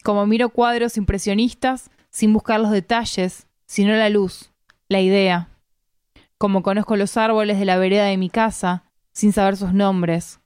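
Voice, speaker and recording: A woman from Argentina with an Argentine Spanish accent reads a literary first-person story aloud in measured, deliberate phrasing. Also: medium pitch, a little mellow, clear, resonant tone, dry recording, studio quality. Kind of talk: literary narration, read aloud.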